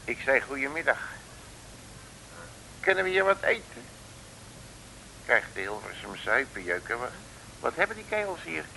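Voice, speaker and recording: A man's voice: mid-range voice, very animated, moderate pace, creaky, long pauses, sharp tone, full character voice, low-quality recording. A man playing a cheeky, blustering detective in a theatrical 1960s radio comedy serial, full of colloquial bravado. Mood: cocky. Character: brash, cheeky male detective, a comic braggart. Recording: hissy old radio recording.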